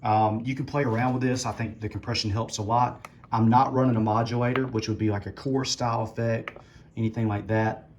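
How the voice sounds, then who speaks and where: A man with a low voice giving a casual tutorial, talking through settings as he adjusts them. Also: brisk, highs cut off, slight background noise. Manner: relaxed, talks while adjusting settings. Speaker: musician demonstrating gear.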